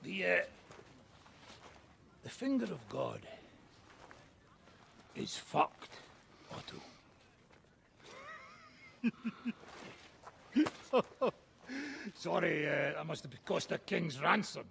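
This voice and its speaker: An actor playing a crude, hot-tempered, hard-drinking medieval man in a video game cutscene, halting and foul-mouthed. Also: fairly high pitch, very animated, gravelly, fairly bright tone, slight background noise, heavily acted. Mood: rueful, amused.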